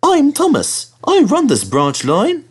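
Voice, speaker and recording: A young storybook tank engine, boastful and excitable. The pitch sits high and leaps around wildly. Phrases come out as emphatic, repeated exclamations. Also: male voice, slow, slightly rough, full character voice. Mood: eager, excited.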